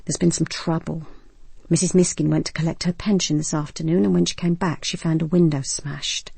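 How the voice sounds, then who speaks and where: A woman plays an earnest social worker in a radio drama. The voice is mellow and slightly rough. The delivery is formal and insistent, as for an urgent message. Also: low voice, fairly even intonation, fairly quick, no echo, full character voice.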